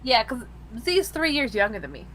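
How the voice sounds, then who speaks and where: Very high, animated woman in her twenties. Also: a little fast. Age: 20s. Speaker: streamer chatting during a drawing stream. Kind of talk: casual, teasing chat. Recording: faint background noise and hum.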